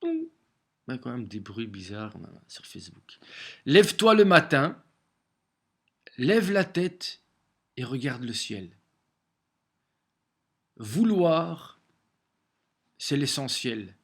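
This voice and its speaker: A man with a cold, talking alone to his camera at home in a halting way, with many repeated words and restarts. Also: mid-range voice, very animated. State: has a cold. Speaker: vlogger sharing daily messages. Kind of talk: casual home video monologue. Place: small room at home, facing a window.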